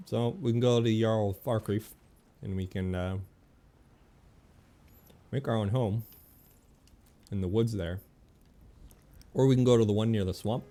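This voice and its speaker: A man's voice: on the low side, warm tone. A man giving casual gameplay commentary, rambling and thinking aloud as he plays. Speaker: game streamer.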